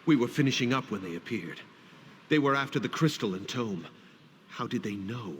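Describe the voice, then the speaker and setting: A man's voice: mid-range voice, lively intonation, moderate pace, fairly bright tone, a little hiss or hum, lightly acted. An actor playing a devout, resolute warrior guildsman in a fantasy video game, solemn and emphatic. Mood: troubled, solemn.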